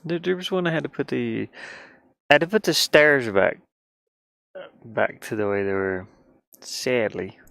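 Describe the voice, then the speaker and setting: Gravelly, tired man muttering as he works. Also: mid-range voice, a little slow, pauses often, fairly bright tone. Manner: muttering to himself, playful sing-song sounds. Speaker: indie game developer narrating own work. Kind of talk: casual rambling commentary while working.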